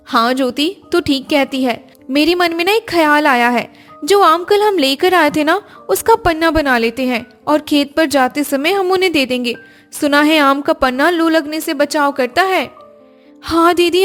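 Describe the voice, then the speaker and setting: Young village daughter-in-law, cartoon family drama female actor, practical, expressive, very high register, music underneath. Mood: concerned, practical. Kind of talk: Hindi cartoon story voice acting.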